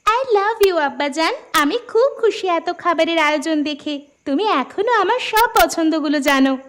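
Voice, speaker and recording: A woman voicing an affectionate, excitable grown daughter in a cartoon, speaking sweetly and eagerly. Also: very high-pitched, unhurried. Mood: delighted. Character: affectionate, excitable grown daughter visiting her village father. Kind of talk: cartoon voice acting.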